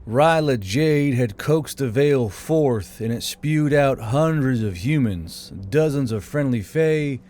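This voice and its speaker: A man's voice: medium pitch, expressive, takes it slowly, few pauses. A man narrating fantasy fiction from a script as an audiobook reader. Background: soft background music.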